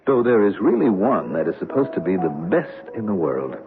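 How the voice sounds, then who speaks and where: On a tinny old radio recording with music behind, a genial executive. His lowish voice moves in lively swings, easygoing and sociable in radio-play style. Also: fairly quick. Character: smooth, genial male business executive. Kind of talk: radio drama acting.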